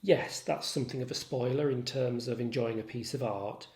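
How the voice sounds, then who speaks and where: A man lecturing as a literature tutor, measured, articulate and instructive in his delivery. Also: mid-range voice, fairly even intonation, darkish tone. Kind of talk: literature lecture with recited quotations. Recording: roomy echo.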